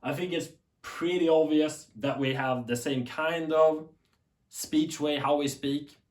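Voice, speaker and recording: A man's voice: a little high, fairly even intonation, unhurried. A young man from Sweden with a Swedish accent, talking unscripted to camera in a rambling, earnest way that often corrects itself. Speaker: tech YouTuber. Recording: echoey room.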